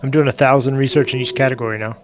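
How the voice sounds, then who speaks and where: A man's voice: medium pitch, steady tone, racing, lo-fi sound, faint music behind. A man with a stuffed-up nose from allergies, casually thinking aloud while playing a multiplayer strategy game. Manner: thinking aloud, muttering to himself. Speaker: game streamer. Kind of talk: casual multiplayer gameplay commentary.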